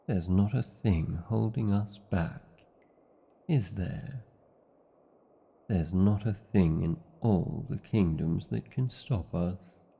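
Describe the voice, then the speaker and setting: A man's voice: low voice, vocal fry, breathy edge, highs cut off, full character voice. A British man playing a proud, tender dragon lover in a fantasy audio romance, speaking in formal, old-fashioned, theatrical phrases. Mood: tender, devoted. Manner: formal, archaic, theatrical phrasing with tag questions. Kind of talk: fantasy ASMR roleplay, slow intimate acting.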